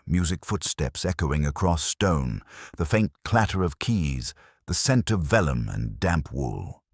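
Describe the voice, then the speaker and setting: A man giving a measured, reflective first-person narration of a detective story in a literary style. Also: very deep voice, dry recording, clean studio sound.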